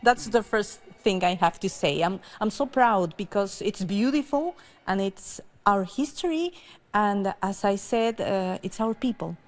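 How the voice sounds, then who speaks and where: A woman's voice: mid-range voice, lively intonation, a little slow. A woman from Peru speaking earnestly and emphatically in an interview.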